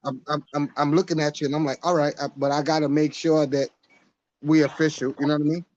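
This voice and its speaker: A man's voice: a little high, level tone, quick pace, darkish tone, highs cut off. A man from New York hosting a casual live-stream podcast, rambling and interrupting himself, with frequent laughs.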